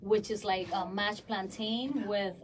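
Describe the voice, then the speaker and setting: A woman's voice: mid-range voice, steady tone, slow pace, far from the mic, crowd noise. Woman from the Dominican Republic, radio guest. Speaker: community outreach director, studio guest. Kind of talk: casual radio chat. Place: radio studio.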